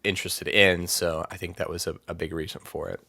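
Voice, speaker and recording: A man's voice: sits low, level tone, brisk, gravelly, sharp tone, dry recording. A man talking as a podcast guest in easygoing chat, rambling with fillers and restarts. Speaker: band vocalist, podcast guest. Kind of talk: casual interview chat.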